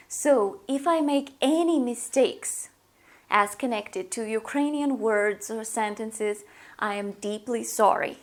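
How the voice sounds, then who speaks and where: A young woman teaching a language lesson in front of a whiteboard, explaining slowly and gesturing as she goes. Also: on the high side. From Russia. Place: indoors, small room in front of a whiteboard.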